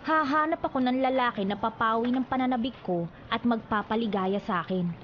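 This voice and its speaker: A Filipino actress playing a frustrated, volatile and jealous wife in a melodramatic radio drama. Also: female voice, on the high side, brisk, muffled recording, slight background noise, lightly acted. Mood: hurt, resentful. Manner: emotional, melodramatic outbursts. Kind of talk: Philippine radio drama acting. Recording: radio drama with music underneath.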